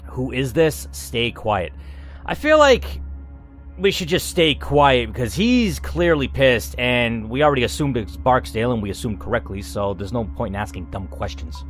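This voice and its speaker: A man's voice: medium pitch, expressive, moderate pace. A man reading an interactive story aloud as a let's player, dramatic, with casual asides. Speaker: video game let's player and narrator. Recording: music under the voice. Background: music.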